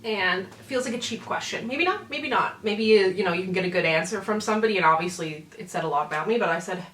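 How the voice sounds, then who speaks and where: A young woman with a medium-pitched voice chats informally, like a beauty vlogger getting ready. Her delivery is casual and self-deprecating, with giggly asides. The sound is clearly roomy, with the microphone set some distance away. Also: fast, a bit bright. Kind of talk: casual chatty get-ready-with-me storytelling. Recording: roomy echo, distant mic.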